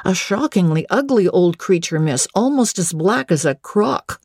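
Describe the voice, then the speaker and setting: Woman voicing a flustered, deferential young footman, raised pitch. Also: animated, unhurried, darkish tone, breathy edge, no echo, studio quality, exaggerated voice. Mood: flustered, insistent. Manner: earnest, servile, rustic turns of phrase.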